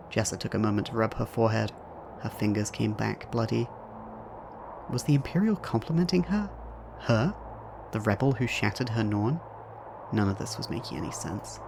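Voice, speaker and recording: A man delivers scripted dramatic narration for an audio drama. His voice sits low, with heavy vocal fry. There is clear noisy ambience behind him. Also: expressive, normal speed.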